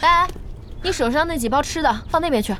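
An actress playing a bossy, warm-hearted, chatty young woman who runs an internet cafe in a radio drama, brisk and expressive. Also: high-pitched, noisy background. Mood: flustered, puzzled.

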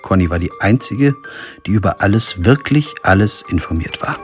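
Actor as male lawyer narrator, deep voice, dry, pragmatic, understated, radio crime drama, faint music. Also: animated, moderate pace, close to an everyday voice. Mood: matter-of-fact. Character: wry, pragmatic lawyer narrating his own crime story. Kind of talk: scripted first-person narration and radio drama dialogue.

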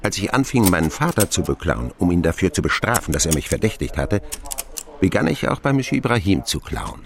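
A man's voice: low-pitched, brisk. An actor playing a middle-aged man who wryly recalls his boyhood as narrator of a radio play. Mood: reminiscing. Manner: wry, expressive storytelling. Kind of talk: scripted first-person narration, radio play.

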